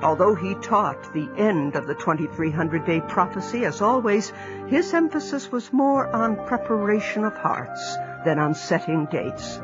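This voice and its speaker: Measured story narrator; dull lo-fi audio with music running beneath. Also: mid-range voice, lively intonation.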